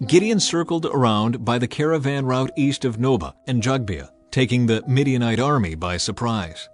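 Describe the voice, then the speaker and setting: A man's voice: mid-range voice, animated, normal speed. Man, scripture audiobook narrator, dramatized scripted reading, music underneath.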